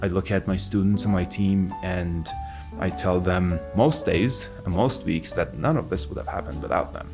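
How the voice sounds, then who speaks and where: A man's voice: low voice, normal speed, music in the background. A man who works as a researcher and mentor, talking reflectively in an interview.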